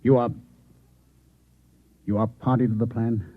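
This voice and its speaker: A man playing a coolly menacing Martian infiltrator posing as a newspaper man in an old-time radio drama, measured, formal and insinuating. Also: mid-range voice, level tone, natural voice. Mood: controlled, probing. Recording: echoey, phone-like old radio drama recording.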